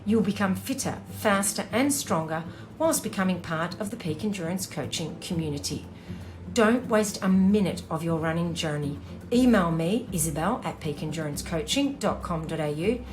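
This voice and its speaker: A woman's voice: on the low side, low-quality recording. A woman who hosts a podcast and coaches runners, reading a scripted intro and ads in an earnest, friendly way. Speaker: podcast host and running coach.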